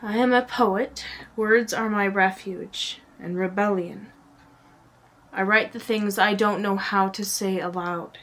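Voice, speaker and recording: A woman recites a confessional monologue at a very slow pace, leaving space between phrases. Her voice sits at a medium pitch and often drops to a hush. The delivery is earnest and dramatic, in the style of spoken-word poetry. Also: steady tone. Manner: earnest, drawn-out phrasing with pauses, often hushed. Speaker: poet.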